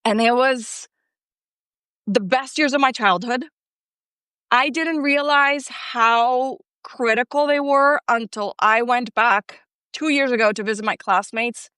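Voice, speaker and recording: A woman's voice: on the high side, slow, bright, forceful tone, clear, resonant tone, no room sound. A woman in her thirties, from Colombia and raised in Ecuador, chatting as a guest in a self-deprecating way with lots of filler words. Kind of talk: casual chat.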